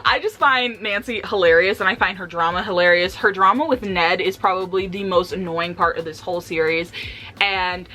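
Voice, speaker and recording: A woman chatting casually and candidly about books for a vlog, with an animated, slangy, giggly way of talking. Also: lowish voice, moderate pace, rough recording. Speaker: book reviewer vlogger.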